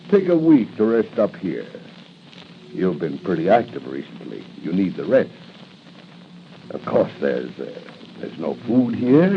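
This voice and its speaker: A man's voice: low-pitched, full character voice. An actor playing a menacing, mocking gangster henchman in 1940s radio style, with a sneering, taunting delivery. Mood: mocking menace. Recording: muffled 1940s radio broadcast, faint noise.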